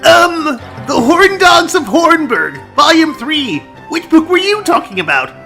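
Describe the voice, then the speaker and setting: A scheming scholar villain in a cartoon parody, blustering and easily flustered. The voice is extremely high and drawn out, with grand theatrical indignation. Music plays clearly behind it. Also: female voice, sing-song, a little slow, bright, forceful tone, full character voice. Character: scheming, melodramatic book-thieving scholar, indignant and hammy villain. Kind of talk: comedic fan-dub voice acting.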